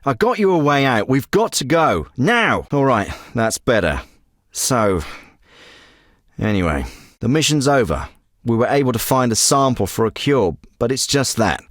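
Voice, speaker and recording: An actor voicing a tough, curt special forces handler in a military video game, giving orders in a clipped, commanding way that turns profane under pressure. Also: male voice, medium pitch, sing-song, measured pace, dry recording, clean studio sound. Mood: cold. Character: tough covert ops handler, middle-aged man, curt. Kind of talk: game voice acting.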